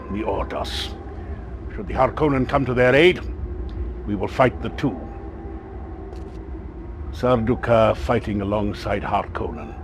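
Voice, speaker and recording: An actor playing a grave military commander in a video game cutscene, with a solemn, theatrical delivery. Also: male voice, mid-range voice, slight background noise. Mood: grim resolve. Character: grave military mentor and commander of House Atreides.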